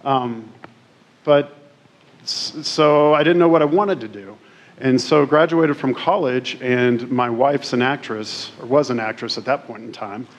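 A man in his forties from Colorado giving a casual, self-deprecating career talk to high school students, with many restarts. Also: measured pace. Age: 40s. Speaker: career expo speaker. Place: large school auditorium stage. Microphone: podium mic on stand.

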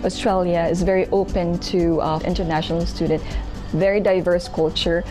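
A young woman from the Philippines gives a student testimonial. She speaks earnestly and clearly, her voice fairly low, with loud music underneath. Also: steady tone, normal speed. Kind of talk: scripted testimonial. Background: background music.

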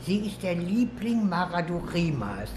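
An actress playing an excitable, secretive helper pledging protection in a radio drama. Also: female voice, on the low side, unhurried. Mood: confiding, urgent. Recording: echoey, noisy ambient sound.

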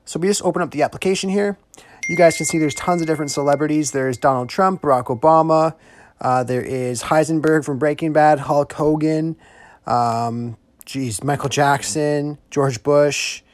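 A man's voice: on the high side, moderate pace. A young adult man hosting a casual tutorial, narrating step by step as a voice-over on a close mic. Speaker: host of app tutorials.

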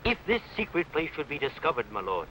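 A middle-aged actor playing a fervent, loyal Saxon follower in an old television costume drama, declaiming emphatically in archaic phrases. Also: male voice, on the high side, very animated, brisk, sharp tone, a little hiss or hum, rough recording. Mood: urgent, fervent.

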